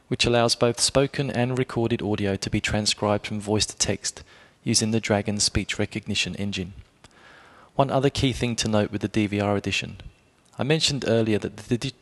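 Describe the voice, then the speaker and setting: A man reading a scripted explainer review as a blogger, in a measured way, speaking directly to the listener. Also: on the low side. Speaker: blogger presenting a product review.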